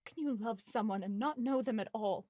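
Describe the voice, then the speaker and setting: A woman's voice: a little high, normal speed, mellow, dry recording, lo-fi sound, lightly acted. A woman playing an earnest, bereaved young Victorian heiress in a murder mystery audio drama. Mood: hurt questioning.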